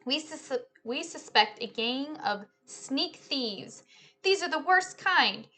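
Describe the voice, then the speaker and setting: A woman voicing a matter-of-fact father in a children's storybook, reporting the news in a measured way. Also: sits high, lively intonation, sharp tone, exaggerated voice. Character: father reading newspaper aloud at breakfast, matter-of-fact.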